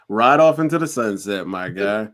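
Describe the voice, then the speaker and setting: A man's voice: medium pitch, a bit bright. A man co-hosting a casual sports podcast, talking in a laid-back, wry way. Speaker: podcast co-host.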